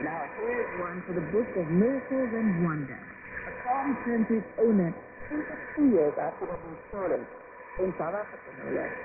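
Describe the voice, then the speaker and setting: A male radio presenter reads scripted links and drops in light asides. His voice is high for a man and sounds tinny through a static-laden shortwave signal. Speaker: radio news magazine presenter.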